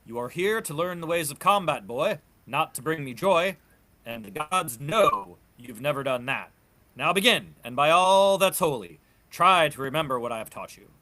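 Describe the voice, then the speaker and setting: A man's voice: a little high, animated, normal speed, bright, forceful tone, no echo. A man playing a stern, exasperated older soldier and mentor, scolding with formal diction in a comic book table read.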